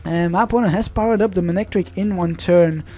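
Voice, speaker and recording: A man's voice: sits high, a little fast, dark tone. A young man whose first language is Dutch, casually commenting on an online card game in a chatty, rambling way, often correcting himself. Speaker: gaming channel host.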